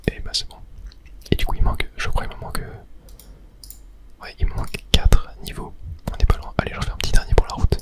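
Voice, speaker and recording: A person whispering casual ASMR game commentary, chatting and thinking aloud in a soft, breathy, unvoiced whisper. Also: fairly quick. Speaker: gaming video host.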